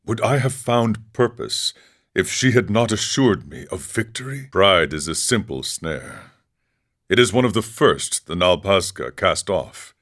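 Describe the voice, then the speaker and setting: Deep-voiced, solemn warrior monk. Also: male voice, animated, a bit bright, full character voice. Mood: reflective. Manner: measured, philosophical, speaks of himself in third person. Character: grave aging warrior monk, proud yet regretful seeker. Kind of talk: scripted video game character dialogue.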